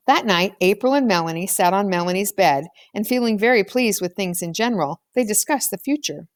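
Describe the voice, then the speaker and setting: A woman's voice: mid-range voice, a little fast. A woman reading a children's novel aloud as scripted audiobook narration. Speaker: audiobook reader.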